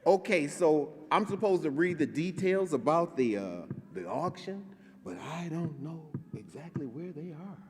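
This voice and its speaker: A man playing the straight man in a comic announcement skit, often halting and restarting. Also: fairly high pitch, expressive. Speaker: congregation member making an announcement. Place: large church sanctuary. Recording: long hall reverb.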